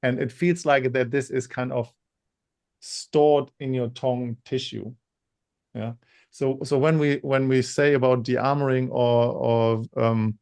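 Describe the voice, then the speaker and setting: A man co-hosting a webinar, talking in a halting way with many repeated words; he says he stutters when insecure. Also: medium pitch, darkish tone. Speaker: webinar co-host. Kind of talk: casual webinar talk.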